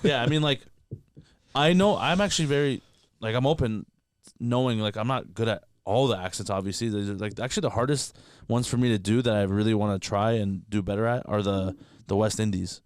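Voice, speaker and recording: A man's voice: lowish voice, smooth, dry recording, clean studio sound. A man from Canada, a guest comedian, chatting candidly on a podcast and swearing freely as he talks. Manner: rapid, candid, profane, heavy filler words. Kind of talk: casual podcast chat.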